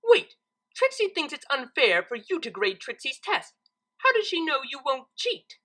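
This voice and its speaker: A woman's voice: sits high, big pitch swings, a little slow, pushed and bright, pitched above the performer's own voice, heavily acted. A woman voicing a vain female unicorn magician who brags in a grandiose, self-important way for a story reading. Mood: suspicious. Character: boastful, vain female unicorn magician, third-person braggart.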